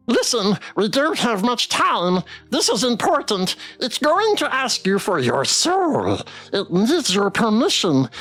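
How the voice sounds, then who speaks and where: A woman's voice: high-pitched, voice pushed up, heavily acted. A woman voicing a small, frightened old toy from a visual novel, giving urgent, dramatic warnings. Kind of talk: visual novel character voice read aloud.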